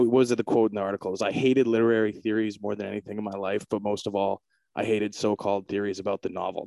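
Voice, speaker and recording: Man, interviewer, low voice, smooth and resonant, dry sound, halting fillers. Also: darkish tone, dry recording, rough recording. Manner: hesitant, full of fillers and restarts. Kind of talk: casual interview chat.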